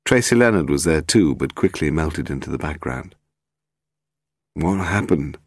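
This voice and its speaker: British man, low-pitched, expressive intonation, scripted novel narration, dry studio sound. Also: a little fast, dry recording. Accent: British English. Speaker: audiobook narrator.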